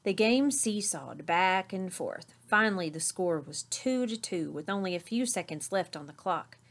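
A middle-aged woman reading a children's story aloud in an expressive narrating style. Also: on the low side, moderate pace. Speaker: storybook reader. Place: small indoor room with curtain backdrop.

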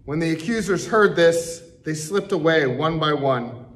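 A middle-aged pastor reads scripture in a church sanctuary, pacing it slowly and leaning on his pauses. His voice sits a bit high for a man. The camera picks him up from a distance. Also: animated, fairly bright tone. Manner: deliberate, slow reading with emphatic pauses. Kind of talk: reading scripture aloud in church.

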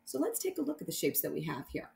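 A woman's voice: sits low, wildly swooping pitch, fast, gravelly, dark tone. A woman teacher reading a picture book aloud and explaining it simply, step by step, for children. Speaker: primary math teacher.

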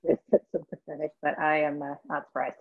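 A sarcastic young female producer played by a woman, quick and husky on a thin, phone-like recording. She mocks in deadpan style in a comedy script reading. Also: sits low. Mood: sardonic. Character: sardonic, mocking young female radio producer, 22. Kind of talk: comedy script table read.

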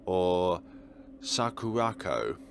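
A man with a very low, gravelly voice hosts a let's play. His pitch moves around a lot as he comments in deliberate, halting phrases, with some noise in the recording. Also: sing-song.

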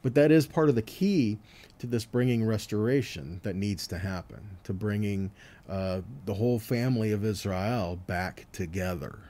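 A man's voice: fairly low pitch, moderate pace, warm tone. A man giving an informal Bible teaching, talking conversationally and often checking in with rhetorical questions. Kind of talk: informal teaching sermon with scripture readings.

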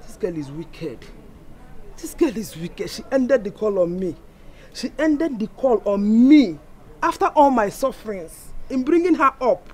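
A self-pitying older mother from a Nigerian village wails and pleads in a high voice, as a woman puts her on. She slides between English and Igbo, piling on guilt. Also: very animated, a little slow, dark tone, slightly rough, full character voice. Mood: lamenting. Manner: wailing, guilt-tripping, mixes Igbo into English.

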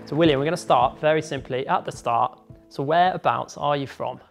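A middle-aged man with a slightly high voice conducts a casual interview. He puts his questions informally, in a conversational style. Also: a little fast, creaky, faint music behind. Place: large carpeted living room, indoors.

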